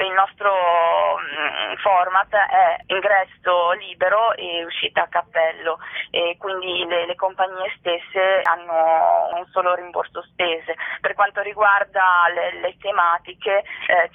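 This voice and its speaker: A woman's voice: on the low side, no echo, phone-quality sound. A woman explaining things as the guest in an informal radio interview. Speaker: interviewee, theatre festival organiser.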